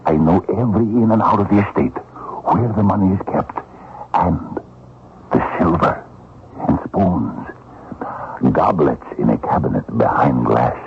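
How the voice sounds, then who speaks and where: Gravelly, low-voiced scheming vagrant thief. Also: male voice, unhurried, pauses often, very noisy. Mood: conspiratorial, urging. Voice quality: gravelly, husky. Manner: taunting, wheedling, muttered asides and scoffing. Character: scheming, bitter Russian vagrant thief, bullying and boastful. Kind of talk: old-time radio drama acting. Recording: dull, lo-fi radio drama recording.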